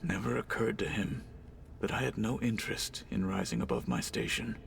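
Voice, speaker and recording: Deep gravelly stoic warrior. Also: male voice, steady tone, a bit bright, a little hiss or hum. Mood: solemn, guarded. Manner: formal, measured, solemn speech. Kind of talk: dramatic video game acting.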